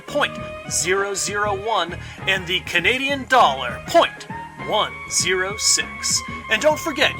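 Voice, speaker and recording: Man acting a high-pitched pompous villain's propagandist. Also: low-quality recording. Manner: pompous, theatrical salesman. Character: bombastic male villain's treasury executive, gleefully pompous propagandist. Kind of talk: comic in-character sponsor announcement.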